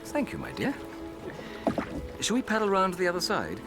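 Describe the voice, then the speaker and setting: A man playing a gentle, courteous young wartime suitor in a radio drama. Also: sits high, huge pitch swings, normal speed, over loud music. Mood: gentle, affectionate. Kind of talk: radio theatre acting.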